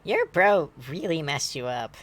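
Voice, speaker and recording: Casting: teenage best-friend boy played by a woman, goofy and deadpan by turns, swooping intonation, casual dry comebacks. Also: sits low, measured pace. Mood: annoyed. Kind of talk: amateur fan fiction read-aloud, character dialogue.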